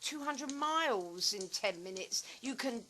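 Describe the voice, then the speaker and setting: An actress playing an eccentric, nervous former actress in a dystopian audio play, rambling with theatrical emphasis. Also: female voice, fairly high pitch, expressive, measured pace, bright, forceful tone, slight background noise. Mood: insistent, explaining.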